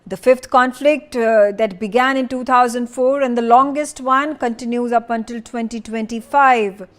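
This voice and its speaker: Female news anchor, higher-pitched, semi-improvised explainer delivery, deliberate and emphatic. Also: fairly even intonation. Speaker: news presenter. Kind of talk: news explainer presentation with some ad-libbing.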